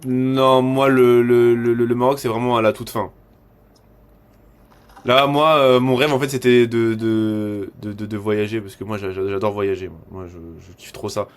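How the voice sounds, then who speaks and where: A man with a medium-pitched voice chats casually. He speaks in slangy, looping bursts, often repeating a word several times before carrying on. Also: flat delivery, moderate pace, clean tone. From born in Morocco, raised in France from six months old. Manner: repetitive, stammering starts with slangy French. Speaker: e-commerce coach hosting a discussion with students. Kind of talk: casual chat.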